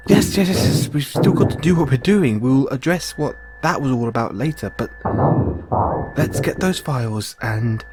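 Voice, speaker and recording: A man with a British accent acting in an audio roleplay as a kindly, anxious, furtive android owner, speaking haltingly with stammers. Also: medium pitch, music in the background, close to an everyday voice. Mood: flustered, urgent.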